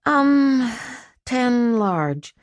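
A woman's voice: fairly high pitch, very animated, drawn-out words, no echo, pitched above the performer's own voice, heavily acted. A woman voicing a feckless grown man who gambles, talking in a nervous, pleading way. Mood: sheepish.

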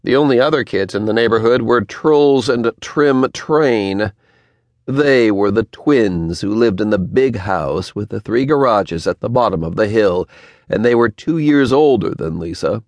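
Low-voiced, animated male children's storyteller. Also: dry recording. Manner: expressive, deliberate storyteller phrasing with emphasis. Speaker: audiobook narrator. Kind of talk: scripted children's story narration.

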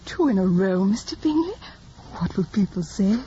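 A demure, lovestruck young gentlewoman played by a woman speaks gently in a radio costume drama. Her voice is mellow and medium in pitch, on a dull recording. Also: sing-song, moderate pace, a little hiss or hum, natural voice. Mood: demure, flattered. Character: sweet, modest, smitten young gentlewoman. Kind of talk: period radio drama acting.

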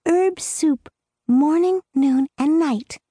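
A woman's voice: high-pitched, takes it slowly, mellow, no room sound, studio quality, voice pushed up. A woman doing a bossy little girl, Amy. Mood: earnest. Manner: earnest, commanding, a bit solemn. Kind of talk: storybook reading.

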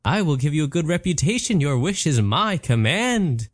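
A man voicing a smug, matter-of-fact male genie who talks slowly and calmly. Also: a little high, dry recording, clean studio sound, pitched above the performer's own voice.

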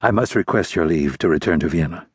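A man voicing a grey-haired male military attaché who speaks slowly, carefully and formally. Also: deep voice, lively intonation, breathy edge, no room sound, studio quality, pitched below the performer's own voice, full character voice. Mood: guarded.

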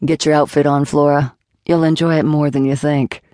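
A woman doing a deadpan, commanding man. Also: no room sound, studio quality, natural voice. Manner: deadpan, low and measured. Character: calm, commanding man, confident and patronizing.

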